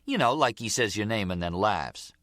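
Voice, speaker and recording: A man's voice: on the low side, animated, moderate pace, a bit bright, no room sound, studio quality, voice pushed up. A man voicing an eager, resentful young mob underling in an audiobook, whiny and insistent, with street-tough grammar. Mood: complaining.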